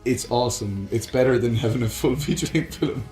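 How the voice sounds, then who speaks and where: A man's voice: medium pitch, brisk, a little mellow, reverberant, soft background music. A man co-hosting a casual chat about films and games, talking in a rambling, enthusiastic fan's way. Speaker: podcast co-host.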